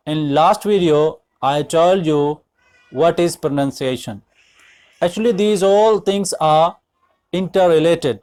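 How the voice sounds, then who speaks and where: Higher-pitched Pakistani man teaching. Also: darkish tone. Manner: deliberate, explanatory, switches between English and Urdu. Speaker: English language teacher. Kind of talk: instructional lecture to students.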